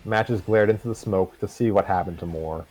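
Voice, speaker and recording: A man's voice: lowish voice, normal speed, darkish tone, low-quality recording. A man reading a fan story aloud on a livestream, casually, with stumbles and asides.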